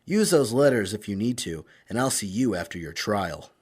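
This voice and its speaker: A man's voice: fairly low pitch, big pitch swings, moderate pace, a little mellow, slightly rough. A man playing a confident masked vigilante in an old-time radio crime drama, clipped, sly and commanding.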